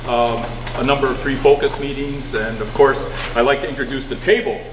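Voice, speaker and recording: A man's voice: mid-range voice, steady tone, fairly quick. A man hosting a community meeting, introducing speakers in a casual, joking way. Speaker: meeting host. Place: community recreation hall. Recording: distant, echoey hall recording with background hum.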